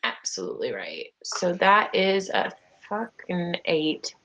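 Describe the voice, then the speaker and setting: A woman's voice: lowish voice, sing-song, slow pace, bright, forceful tone, slight background noise. Casual, swearing woman player. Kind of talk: casual tabletop play. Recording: dull online call audio.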